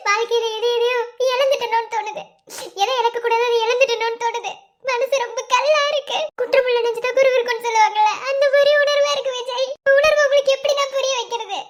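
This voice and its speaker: A woman's voice: very high voice, a little fast, fairly bright tone. A woman playing an anguished young mother in a family melodrama, tearful, plaintive and full of questioning doubt. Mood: distressed. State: crying. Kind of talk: melodramatic family serial acting.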